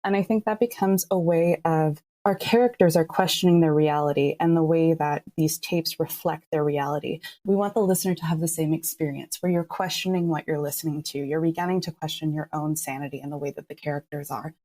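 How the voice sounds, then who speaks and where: A woman with a fairly low, very mellow voice speaks as an interview guest. She explains creative choices in an articulate, enthusiastic way. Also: fairly even intonation, fairly quick, few pauses. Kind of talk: thoughtful explanatory interview talk.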